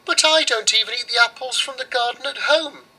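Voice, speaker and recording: A man's voice: very high voice, a little fast, pushed and bright, breathy edge, voice pushed up, heavily acted, rough recording. A man voicing an innocent, fussy young girl in a storybook, with an insistent childlike manner. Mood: fussy refusal. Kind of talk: storybook character voice.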